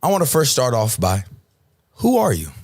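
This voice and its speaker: A man hosting a casual interview, upbeat and affirming, tossing in quick slang interjections. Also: medium pitch, huge pitch swings, darkish tone, no room sound, clean studio sound. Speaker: interviewer, host.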